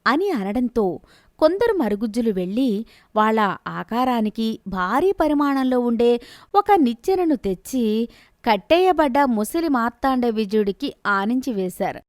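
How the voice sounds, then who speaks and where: Woman reading a bedtime fantasy tale, kinda high voice, dry recording. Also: very animated, a little slow, darkish tone, no echo. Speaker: storyteller. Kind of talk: scripted bedtime story narration.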